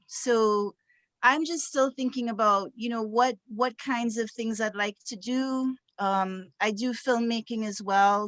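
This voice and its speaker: A woman's voice: fairly high pitch, fairly bright tone, dry recording. A young woman from Trinidad and Tobago, a student asking a question on a video call, eager and grateful with some hesitations. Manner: eager, grateful, a little halting.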